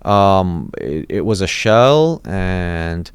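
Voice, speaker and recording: A man's voice: low voice, expressive, drawn-out words, clean tone, no echo. A dentist in his thirties, born in Iraq and raised in Los Angeles, telling his story in a casual interview, with many restarts and fillers. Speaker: dentist, podcast guest.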